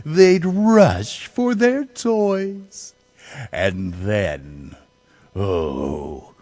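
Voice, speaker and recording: A man reading a children's story theatrically, with dramatic, drawn-out emphasis. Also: fairly low pitch, darkish tone, dry recording. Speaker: storybook reader.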